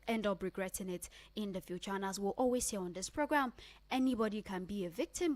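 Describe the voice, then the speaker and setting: A woman's voice: mid-range voice, dry recording. A woman with a Nigerian accent hosting a radio talk show from a studio. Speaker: radio host.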